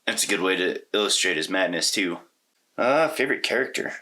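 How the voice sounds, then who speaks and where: A man's voice: deep voice, expressive, brisk, sharp tone. A man co-hosting a casual discussion about films, talking in an informal, slangy way. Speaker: podcast co-host. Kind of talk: casual conversational movie discussion.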